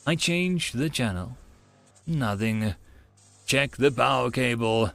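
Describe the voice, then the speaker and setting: Theatrical narrator with very animated delivery. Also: medium pitch, takes it slowly, vocal fry, faint music behind. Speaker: audiobook narrator. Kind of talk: dramatic first-person reading of a visual novel.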